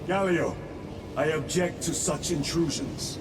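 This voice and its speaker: An actor playing a stern, guarded veteran space soldier lieutenant in a video game, speaking in formal, terse commands. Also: male voice, fairly high pitch, lively intonation, unhurried, heavy background noise. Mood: cold, defensive. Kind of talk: cinematic video game acting.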